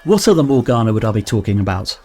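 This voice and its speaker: A man's voice: lowish voice, big pitch swings, quick pace, dark tone. Man acting a pompous medieval knight. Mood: exasperated. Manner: pompous, curt, pedantic. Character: pompous, exasperated male knight, Sir Cai. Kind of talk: comic radio play acting.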